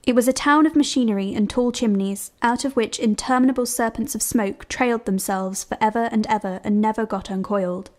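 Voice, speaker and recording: A woman's voice: a little high, steady tone, a little fast, hardly any pauses, a little mellow. A woman narrating, reading descriptive literary prose aloud.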